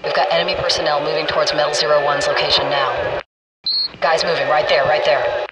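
Male military fire control officer for shooter game, actor performance: higher-set male voice, terse and commanding, clipped radio callouts under heavy cabin noise. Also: level tone, a little fast, recorded from a distance, natural voice. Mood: urgent, warning.

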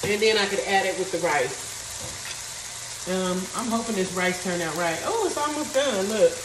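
A woman talks casually as she cooks, thinking aloud and walking through the recipe step by step. Her voice is of middle pitch and comes from a little away from the mic in an echoey home kitchen. Frying and stirring sizzle loudly behind her. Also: lively intonation, moderate pace, recorded from a distance, background noise. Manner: chatty, thinks aloud, reads recipe steps. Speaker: cooking vlogger. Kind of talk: casual cooking vlog chat. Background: sizzling and stirring in a pan.

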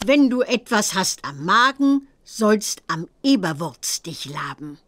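A woman voicing a witch teacher in a children's computer game, reciting with a deliberate, sing-song delivery. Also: mid-range voice, very animated, fairly bright tone. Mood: instructive.

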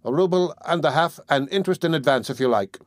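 A man voicing a severe, stingy old woman pawnbroker who talks in a curt, sharp, haggling way. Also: on the high side, quick pace, dry recording, clean studio sound, voice pushed up, lightly acted.

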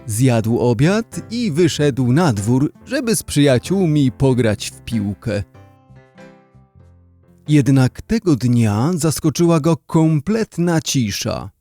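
Unhurried male storyteller with expressive intonation. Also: mid-range voice, soft background music. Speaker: narrator. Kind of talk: scripted children's story narration.

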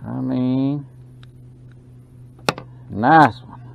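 A man with a drawl talks slowly through a gravelly voice as he fishes from a small boat. He calls out to the fish with excited exclamations and low mutters. The sound comes from a dull, muffled boat-mounted camera mic with faint hiss. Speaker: fishing channel host. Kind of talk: casual running commentary while fishing. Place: small boat on a lake, outdoors. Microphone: action camera mounted on the boat. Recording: dull action-camera audio with faint noise.

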